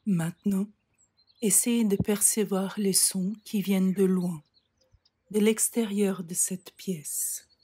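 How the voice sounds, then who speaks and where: A woman leads a guided relaxation at a very unhurried pace. Her voice is soft and breathy with a mellow tone. She gives calm, measured instructions and leaves frequent pauses between phrases. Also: medium pitch. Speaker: yoga nidra meditation guide. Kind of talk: slow guided relaxation meditation.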